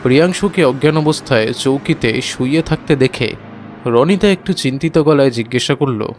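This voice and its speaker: A man's voice: medium pitch, normal speed, soft background music. A man giving a dramatic first-person narration of a horror audio story.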